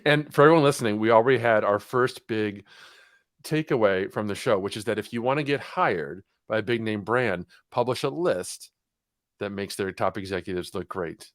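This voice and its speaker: Fairly low-voiced guy hosting, asks questions, jokes around, dry close sound. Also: moderate pace, no echo. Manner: friendly, summarizing for listeners, joking. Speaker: show host, interviewer. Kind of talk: interview hosting.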